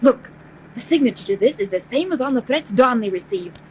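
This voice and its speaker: A woman's voice: fairly high pitch, lively intonation, fast, natural voice. An actress playing a decisive woman private detective in a 1930s radio serial, with a dramatic, urgent manner. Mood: urgent, excited. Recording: noisy 1930s radio recording.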